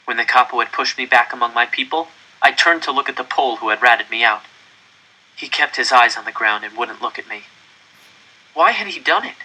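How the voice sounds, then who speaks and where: Man, very bright tense voice, mid pitch, dramatic story narration, expressive, deliberate. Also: slightly rough, poor audio. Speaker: audiobook reader.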